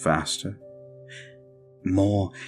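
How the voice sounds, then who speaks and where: A man playing a suave, possessive vampire in an audio roleplay, speaking formally and deliberately with a commanding manner. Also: sits low, steady tone, faint music behind. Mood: possessive, measured. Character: suave, controlling male vampire, courtly and possessive. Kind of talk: scripted audio roleplay, slow intimate monologue.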